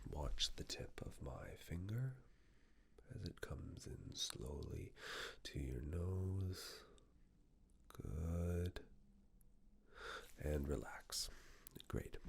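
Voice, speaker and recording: A man speaking in a breathy, husky whisper and soft low voice, giving soothing, measured instructions in a medical exam ASMR roleplay.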